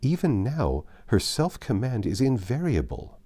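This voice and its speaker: A man's voice: mid-range voice, huge pitch swings, unhurried, mellow, pitched above the performer's own voice. A man voicing a passionate, dramatic girl of seventeen from a period novel, earnest and emphatic. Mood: incredulous, dramatic.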